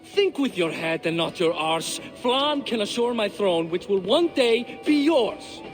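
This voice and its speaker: An actor playing a proud, hearty middle-aged Viking king who is quick to anger, in a video game. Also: high voice, animated. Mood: angry. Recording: game cutscene with music.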